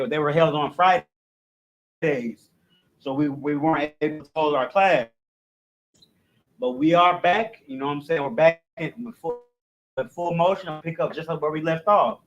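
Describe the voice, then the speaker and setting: Man teaching a class, casual and lo-fi. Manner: casual, repeats "you know what I'm saying", drops his voice on asides; recites letters loudly and rising in pitch. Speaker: class instructor. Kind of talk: recorded religious language class.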